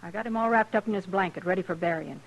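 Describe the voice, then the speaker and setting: A woman's voice: on the low side, fast, dull audio. A woman acting a hard, hostile frontier wife in a 1950s radio western, with a rural American accent and a blunt, combative, folksy delivery. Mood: defiant, bitter.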